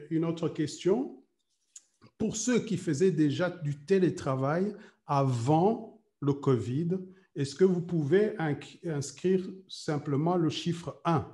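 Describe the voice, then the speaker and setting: A fifty-year-old man from Rwanda runs an online training workshop, speaking hesitantly with frequent fillers while turning to his participants. His voice sits a little high yet sounds mellow and dark, and the video call carries an echoey room around him. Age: 50s. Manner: hesitant, many fillers, addresses participants directly. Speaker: trainer and coach.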